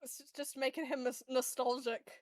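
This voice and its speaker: A woman's voice: sits high, level tone, moderate pace, no room sound. A woman playing a tabletop game, chatting casually and hesitantly as she improvises what her character says and does. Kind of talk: casual improvised role-play chat.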